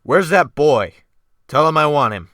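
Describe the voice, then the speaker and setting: Man playing brutal, barking sea captain, forceful. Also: fairly high pitch, lively intonation, a little slow, no echo, clean studio sound, pitched above the performer's own voice. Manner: snapping, barking orders, thundering. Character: brutal, commanding ship's captain, mocking and menacing. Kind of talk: dramatic audiobook character reading.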